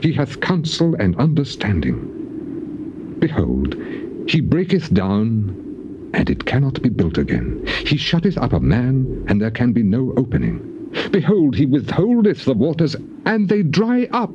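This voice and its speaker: A man's voice: mid-range voice, very animated, measured pace. An actor playing an anguished, bitterly sarcastic righteous man in a dramatized scripture reading, declaiming in solemn archaic phrases. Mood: grave declaiming. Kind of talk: dramatized scripture reading, classical stage acting. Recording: muffled old cassette tape with clear noise.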